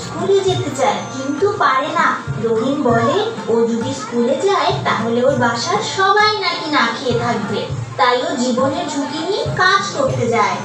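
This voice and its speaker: A woman's voice: high voice, animated, normal speed. A woman voicing an innocent, earnest twelve-year-old boy puppet in a children's puppet show, speaking in a childlike way. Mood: troubled, innocent. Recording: very echoey, distant, music behind.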